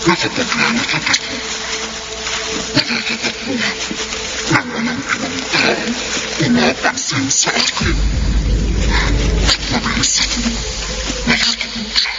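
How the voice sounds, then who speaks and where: Slow, swooping monologue of a cursed dog-woman. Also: on the high side, sing-song, gravelly, heavy background noise, exaggerated voice. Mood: uneasy, craving. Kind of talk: radio horror drama monologue. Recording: dull radio audio under loud music.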